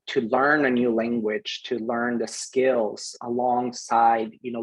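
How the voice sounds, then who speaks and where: Reflective man from Peru, husky voice. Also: mid-range voice, rough recording. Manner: reflective, unhurried, frequent fillers. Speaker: program director giving a graduation message. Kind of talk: recorded address to graduating students.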